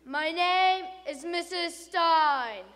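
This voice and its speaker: A woman's voice: very high voice, extremely slow, heavily acted. A woman voicing a huge, menacing female monster teacher who barks out orders in a stage recitation. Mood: menacing, commanding. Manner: barking loud orders. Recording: reverberant hall.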